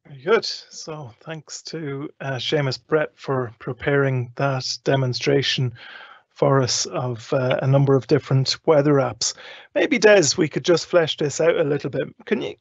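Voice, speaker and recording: A man's voice: a little high, creaky, no room sound. A man hosting, asking questions casually with hesitant, tentative phrasing. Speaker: host. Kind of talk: casual interviewing. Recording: dry, slightly muffled.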